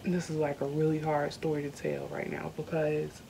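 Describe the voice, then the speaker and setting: A young woman from the South, candidly telling a personal story on her vlog, earnest and slowed by emotion. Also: low-pitched, level tone, vocal fry, dark tone, slight background noise. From Southern United States. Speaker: YouTuber. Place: indoors at home.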